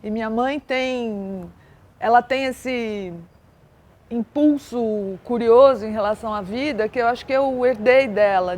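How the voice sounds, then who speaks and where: An older woman from Brazil, a writer answering interview questions in a thoughtful, measured way. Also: fairly high pitch. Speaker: writer guest.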